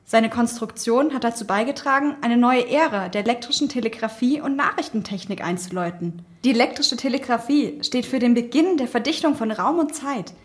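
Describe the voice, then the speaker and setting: Woman playing high-voiced curious young time traveler. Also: fairly quick, hardly any pauses, lightly acted. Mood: explanatory. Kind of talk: scripted audio drama.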